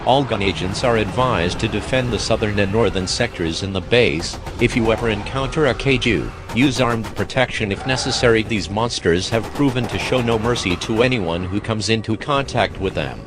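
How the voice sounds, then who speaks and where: A man's voice: lowish voice, moderate pace, music in the background, natural voice. A man playing a grave military base announcer, reading a scripted emergency warning in a formal, emphatic way. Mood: grave, urgent.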